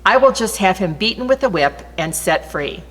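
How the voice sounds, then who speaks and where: A woman's voice: mid-range voice, expressive, moderate pace, lightly acted. Woman reading as a protesting Roman governor, echoing hall. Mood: firm, reasoning. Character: Roman governor, a man reasoning with a crowd. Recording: long reverberant hall echo.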